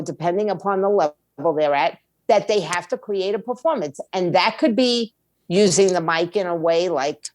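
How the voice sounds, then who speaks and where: A woman's voice: fairly low pitch, no room sound. Blunt, opinionated woman, dry close sound. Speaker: guest, music label owner and attorney. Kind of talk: conversational interview answers.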